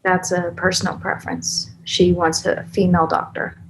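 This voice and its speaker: A woman's voice: on the low side, flat delivery, moderate pace, a little hiss or hum, lightly acted, poor audio. A woman at a screenplay table read playing a terse, guarded investigator who is unsettled and suspicious. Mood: wary, terse.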